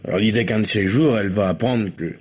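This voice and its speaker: An actor playing an earnest, disgusted undercover policeman with a soft heart in an old French radio crime drama, speaking deferentially in a husky voice. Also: on the low side, quick pace. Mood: troubled. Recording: dull lo-fi old radio recording.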